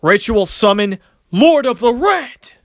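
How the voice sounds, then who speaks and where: A man's voice: very high-pitched, big pitch swings, takes it slowly, a bit bright, no room sound, clean studio sound, exaggerated voice. A man voicing a confident, cocky but good-natured young card duelist in casual, swaggering banter for an amateur anime-style series. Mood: battle-ready, confident.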